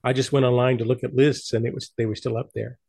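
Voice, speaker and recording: Male, creaky and rough-voiced, medium pitch, informal group chat participant. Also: flat delivery, fast talker, dark tone. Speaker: participant in an old-time radio discussion group. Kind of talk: casual chat.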